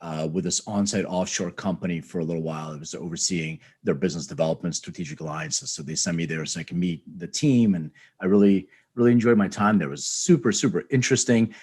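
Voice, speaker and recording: Man, podcast host, husky voice, rambling friendly talk. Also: sits low, fast talker, hardly any pauses, a little mellow. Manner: friendly, rambling, repeats words. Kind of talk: interview conversation.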